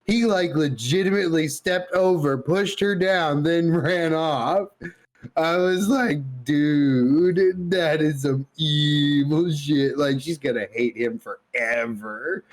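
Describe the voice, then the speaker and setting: A man with a slightly high-pitched voice chats casually as a podcast co-host. He spins comic stories in loose, profane slang, addressing his listener as dude. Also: slow. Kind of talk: casual chat, comic storytelling.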